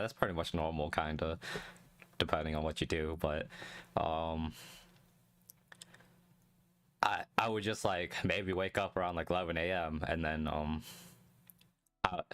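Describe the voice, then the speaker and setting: Young man, very low voice, calm low-energy rambling, close mic, halting fillers. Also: dry recording. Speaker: streamer. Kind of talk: casual solo chat stream. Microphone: close desk mic.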